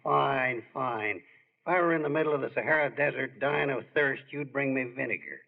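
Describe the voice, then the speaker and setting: A man's voice: mid-range voice, lively intonation, normal speed. A man acting in a 1940s radio drama as a newspaperman friend who also serves as narrator. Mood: wisecracking. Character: wisecracking newspaperman friend, turned somber storyteller. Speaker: narrator and reporter character. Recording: tinny, husky-sounding old radio recording.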